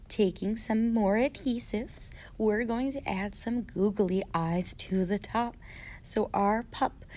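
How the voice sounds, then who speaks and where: A woman's voice: medium pitch, a little mellow. A woman giving scripted, step-by-step craft instructions for children in a patient, playful way.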